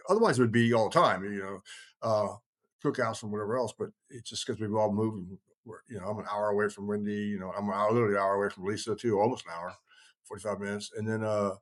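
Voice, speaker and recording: A man's voice: fairly low pitch, fairly even intonation, fast. A middle-aged man in a casual, rambling group discussion, speaking in an earnest, blunt, folksy way. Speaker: podcast host.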